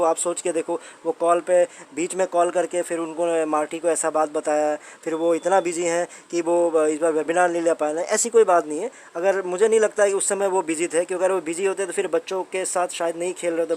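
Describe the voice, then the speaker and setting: A man's voice: high voice, a little mellow. A man with an Indian accent giving informal explainer commentary, conversational, often repeating his points and putting rhetorical questions to the listener. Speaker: host of a commentary channel on a company's updates.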